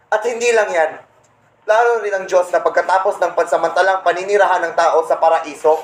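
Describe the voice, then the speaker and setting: A man's voice: high-pitched, close to an everyday voice. A man playing a proud, resentful Satan who tells his schemes indignantly in a comedic radio play. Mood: boastful, scheming. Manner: boastful, indignant storytelling. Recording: roomy echo, some background noise.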